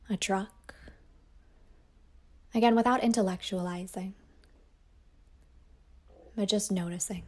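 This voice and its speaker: A woman teacher guiding a yoga nidra meditation in a slow, soft voice that often drops toward a whisper. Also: on the low side. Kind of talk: guided meditation.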